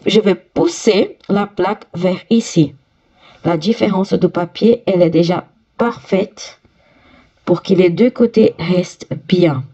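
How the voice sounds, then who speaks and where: A woman with a cold, her voice stuffy, slowly talking viewers through each step of fitting a part on a sewing machine. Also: lowish voice, vocal fry, dark tone, dull audio. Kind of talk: step-by-step workshop demonstration.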